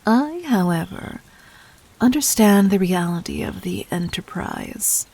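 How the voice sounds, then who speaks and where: A woman playing a poised, seductive demon queen in an intimate audio drama, breathy and husky, speaking with formal, teasing condescension. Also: medium pitch, lively intonation, measured pace, darkish tone, full character voice. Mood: knowing, commanding.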